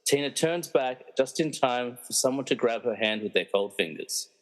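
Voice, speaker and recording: A man's voice: mid-range voice, expressive, normal speed, in a large hall, low-quality recording. A man narrating a scripted radio play as a dramatic storyteller with wry asides.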